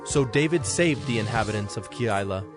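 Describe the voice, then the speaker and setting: A man's voice: on the low side, lively intonation. Male narrator of scripture over music. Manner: measured, formal storytelling.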